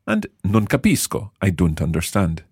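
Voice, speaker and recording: A man's voice: deep voice, husky, no room sound, clean studio sound. A man hosting a language lesson, explaining phrases patiently and quizzing his co-hosts. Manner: patient, lively, repeats phrases slowly. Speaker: language teacher and host.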